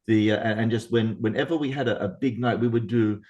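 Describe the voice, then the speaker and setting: A man's voice: fairly low pitch, fairly even intonation, quick pace, mellow, lo-fi sound. A man, an experienced chef, speaking earnestly, often repeating words and checking in with "right?". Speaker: executive chef. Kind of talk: casual panel talk.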